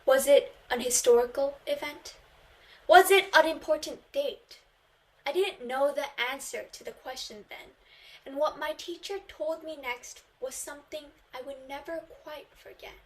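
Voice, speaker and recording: A woman's voice: high-pitched, measured pace, poor audio. A Korean teenage girl, a native Korean speaker, delivering an earnest memorized speech in English at a student contest, stressing key phrases. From South Korea. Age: teens.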